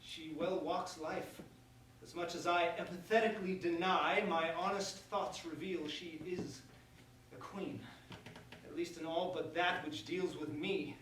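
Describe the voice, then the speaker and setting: A man acts out a pompous young prince, bitter and grand, in a verse comedy. The voice is high and theatrical, speaking formally. It comes from well off the mic in a strongly reverberant room with faint noise. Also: steady tone, unhurried, a bit bright, distant mic. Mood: brooding. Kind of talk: staged reading of verse comedy.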